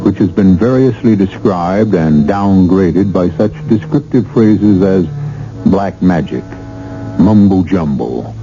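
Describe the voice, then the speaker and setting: Male mystery radio host, lowish voice, measured theatrical narration, formal wording, slightly muffled vintage broadcast. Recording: dull old radio broadcast.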